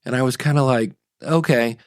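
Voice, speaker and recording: A man's voice: medium pitch, a little fast, no echo. Rambling man podcaster, dry close sound. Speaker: podcast host. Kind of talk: casual opinion talk.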